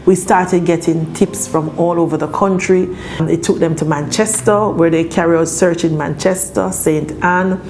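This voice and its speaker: A woman's voice: on the low side, steady tone, moderate pace, darkish tone. A woman with a Jamaican accent speaking as a police spokesperson, explaining things formally in an interview. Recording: faint music behind the voice.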